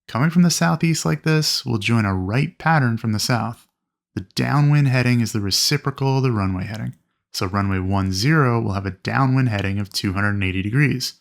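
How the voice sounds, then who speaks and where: A man narrating an instructional lesson as a flight instructor, explaining things clearly and methodically. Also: medium pitch, a little fast. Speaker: flight instructor presenting a training video. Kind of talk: instructional narration with acted radio calls.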